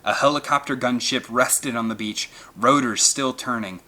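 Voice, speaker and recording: A man's voice: medium pitch, normal speed, bright, forceful tone. A man reading an adventure novel aloud as dramatic scripted narration. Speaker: audiobook narrator.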